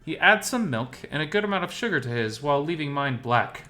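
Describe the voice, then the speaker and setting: A man's voice: fairly high pitch, sing-song, a little fast, bright, forceful tone, soft background music. A man reading scripted first-person story narration as the narrator.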